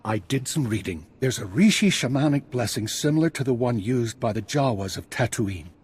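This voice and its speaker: A man playing an eccentric, visionary Jedi master in a video game, guiding a student with expressive, theatrical delivery in a husky, rough-edged voice. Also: medium pitch, moderate pace. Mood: calm, guiding. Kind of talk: scripted video game dialogue.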